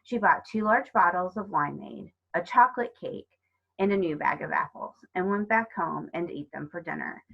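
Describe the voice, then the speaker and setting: A woman reading a children's novel aloud as scripted narration, in an expressive storytelling manner. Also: fairly low pitch, moderate pace, gravelly, husky, dull audio. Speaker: storybook reader.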